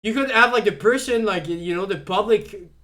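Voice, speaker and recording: Young man, high-pitched, French native speaker, casual streamer, rambling, lots of fillers and restarts. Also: moderate pace, pushed and bright. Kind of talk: casual live-stream chat.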